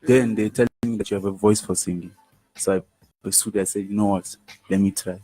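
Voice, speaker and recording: A man, a musician guest telling his story in a radio interview, easy and unhurried with pidgin phrases. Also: fairly low pitch, steady tone, warm tone, dry recording, rough recording. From Nigeria.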